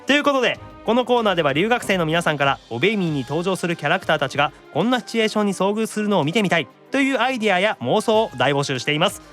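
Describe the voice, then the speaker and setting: A male radio host with a jokey, teasing way of talking. His voice is bright and fairly high, moving quickly with lots of swing. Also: very animated, faint music behind. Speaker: radio show host, voice actor. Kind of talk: lively radio banter and announcements.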